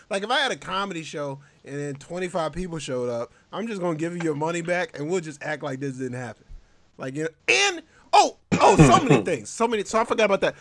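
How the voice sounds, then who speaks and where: A man hosting a comedy podcast, riffing in an animated, loud, slangy way. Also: fairly high pitch. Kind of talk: joking podcast banter.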